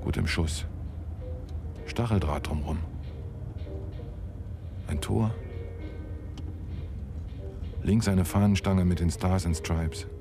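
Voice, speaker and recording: Embattled salesman, radio play monologue, very low slightly gravelly voice, sardonic, clipped phrases, faint music. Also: slow, pauses often. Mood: wry, uneasy. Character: wry, embattled male insurance salesman held captive.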